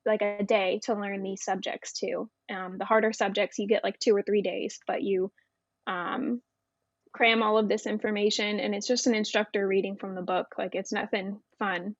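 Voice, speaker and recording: A woman's voice: mid-range voice, dry recording, muffled recording. A young American woman giving a casual, conversational explanation from her own experience as an interview guest. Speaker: interview guest, former Navy air traffic controller.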